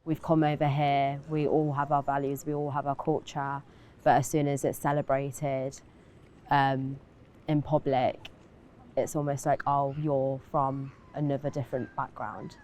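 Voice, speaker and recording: A young woman speaking reflectively in a documentary interview, pausing often with fillers. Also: very deep voice, little pitch movement, dark tone.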